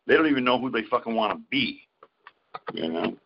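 A man co-hosts a casual podcast in a creaky, gravelly voice of middling pitch. He talks crudely and swears heavily. The recording is dull and lo-fi. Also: animated, a little fast, background noise. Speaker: podcast co-host. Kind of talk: casual chat. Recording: dull lo-fi audio.

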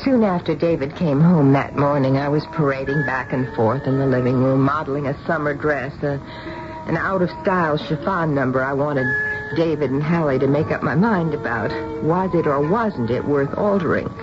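A woman's voice: deep voice, moderate pace, over loud music, close to an everyday voice. An actress playing a chatty, matter-of-fact middle-aged wife and mother with a wry manner in a radio drama. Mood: narrating. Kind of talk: radio drama narration and acting. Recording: dull, hissy broadcast radio recording.

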